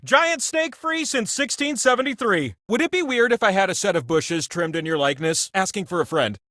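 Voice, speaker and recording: A man's voice: very high voice, pushed and bright, no room sound, clean studio sound, heavily acted. A man voicing a sassy, giddy giant talking wheel who hosts a trivia game show with campy, theatrical exuberance and chatty asides. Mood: playful, chatty.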